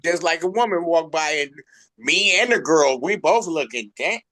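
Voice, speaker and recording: A man's voice: fairly high pitch, lively intonation, moderate pace, a bit bright. A man hosting a music show, chatting casually in an informal, slangy, effusive way. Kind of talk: casual interview chat.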